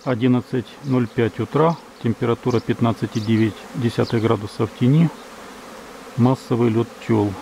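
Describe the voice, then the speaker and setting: A man from Ukraine, a beekeeper, calmly narrating outdoors at his hives, reading out times and temperatures in a measured, matter-of-fact way. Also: mid-range voice, gravelly, slight background noise, poor audio. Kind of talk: calm narration of apiary footage. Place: outdoors at an apiary beside hives. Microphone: camera's built-in mic. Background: buzzing bees.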